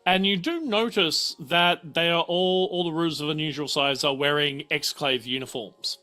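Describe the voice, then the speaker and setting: A man's voice: fairly high pitch, steady tone, moderate pace, bright, forceful tone, soft background music. A man, informally explaining and narrating a tabletop game as game master.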